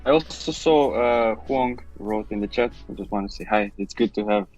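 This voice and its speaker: Romanian man, low voice, dull stream audio. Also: moderate pace, darkish tone, faint music behind. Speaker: co-host. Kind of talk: casual live-stream chat.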